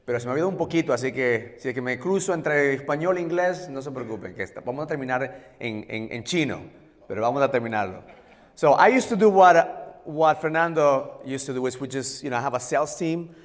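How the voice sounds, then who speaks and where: A man's voice: medium pitch, animated, fast talker, in a large hall. A middle-aged man from Ecuador, a native Spanish speaker, giving a blunt, profane sales keynote and mixing Spanish with English. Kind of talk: motivational keynote talk. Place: hotel conference hall.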